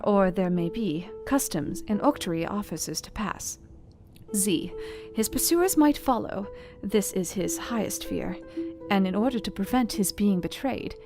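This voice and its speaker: An actress playing an earnest young Victorian wife, reading her journal with precise, deliberate diction in a Gothic audio drama. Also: medium pitch, close to an everyday voice. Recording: music behind the voice. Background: instrumental music.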